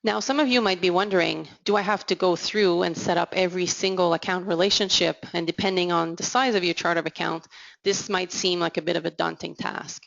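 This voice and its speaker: Woman, low gravelly voice, measured software demo narration, dry dull recording. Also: steady tone, dry recording. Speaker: software product presenter. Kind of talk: scripted product demo narration.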